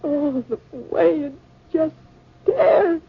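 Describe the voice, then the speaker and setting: An actress playing a terrified scientist's wife in a 1950s radio drama, speaking in breathless, melodramatic, halting phrases. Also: female voice, high-pitched. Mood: panicked. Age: adult. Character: terrified scientist's wife, trapped with giant insects. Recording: muffled, noisy vintage radio recording.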